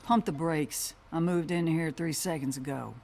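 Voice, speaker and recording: A gruff cowgirl bounty hunter. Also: low voice, normal speed, darkish tone, a little hiss or hum. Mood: wry, grumpy. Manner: dry, sardonic, folksy. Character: gruff, world-weary female mech-pilot bounty hunter in a post-apocalyptic western. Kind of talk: video game cutscene acting. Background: background music.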